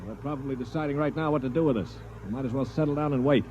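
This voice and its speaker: A man's voice: mid-range voice, rapid-fire, heavy background noise, close to an everyday voice. Man playing a sardonic vintage radio hero. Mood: dry, tense. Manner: sardonic, matter-of-fact. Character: cynical, level-headed prisoner of war narrating his story. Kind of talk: 1950s radio drama narration and dialogue. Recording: dull vintage radio recording.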